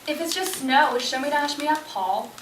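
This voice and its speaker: A woman's voice: sits high, expressive, moderate pace. A woman playing a pleading, nagging young zombie survivor in an amateur stage play. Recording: distant echoey stage recording with noise.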